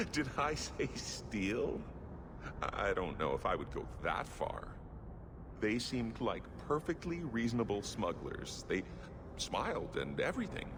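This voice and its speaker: A man's voice: fairly low pitch, big pitch swings, unhurried, background noise. An actor playing a nervous, timid male dwarf merchant and smuggler in a fantasy video game, flustered, polite and evasive. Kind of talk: video game cutscene acting.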